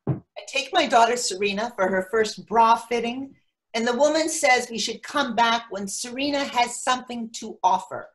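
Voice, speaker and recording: A woman's voice: fairly high pitch, moderate pace, close to an everyday voice, rough recording. A woman playing an eager, protective, overinvested mother in a comic monologue reading. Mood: indignant. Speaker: actor in a readers theatre.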